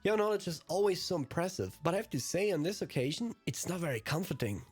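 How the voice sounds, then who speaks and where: A man's voice: high voice, lively intonation, fast talker, husky, pitched above the performer's own voice. A man voicing a polite, hesitant and anxious hero with a deferential manner in a visual novel read aloud. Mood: deferential, uneasy. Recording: music behind the voice.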